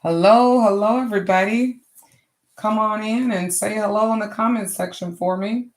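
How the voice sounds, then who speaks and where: A middle-aged woman with a hoarse voice, hosting a casual crafting livestream and chatting to viewers as she works. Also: mid-range voice, moderate pace. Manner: chatty, greets viewers warmly and often. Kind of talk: casual live craft chat.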